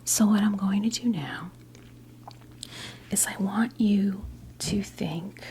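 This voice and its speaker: Slow whispering American witch played by a woman. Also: mid-range voice, warm tone, slight background noise, close to an everyday voice. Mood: gentle, attentive. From New Jersey, United States. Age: 50s. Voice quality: breathy whisper. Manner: slow, soothing, hesitant pauses, encouraging. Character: gentle, reassuring witch tarot reader. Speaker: tarot card reader in role play. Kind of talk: ASMR whispered role play.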